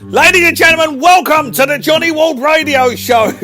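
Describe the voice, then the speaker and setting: A man voicing an excitable male radio host with a squeaky, shouty voice, in a comic radio spoof. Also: moderate pace. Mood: gushing. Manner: squeaky, shouty, gushing and giggly.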